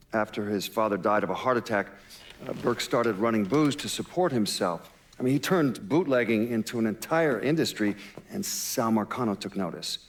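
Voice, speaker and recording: A man acts as an interviewee in a game's documentary-style cutscene. His low voice is hesitant, conversational and factual. There is faint noise in the recording. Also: natural voice. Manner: hesitant, conversational, matter-of-fact. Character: matter-of-fact man recounting a mobster's history.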